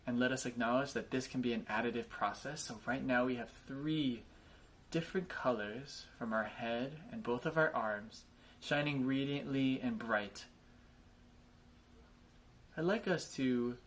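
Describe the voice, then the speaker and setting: A man leading a guided body scan meditation, speaking in gentle invitations with frequent pauses. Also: medium pitch, slight background noise.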